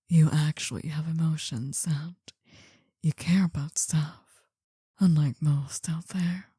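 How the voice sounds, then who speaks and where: A woman's voice: low-pitched, no echo, studio quality, heavily acted. A woman playing a possessive, world-weary older mafia boss in an intimate whispered ASMR roleplay, tender and confiding. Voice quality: breathy, soft whisper.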